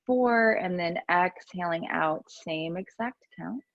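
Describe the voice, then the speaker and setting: A woman teaching yoga and meditation, giving gentle, soothing, unhurried guidance with pauses between instructions. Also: on the low side, creaky, no echo, highs cut off.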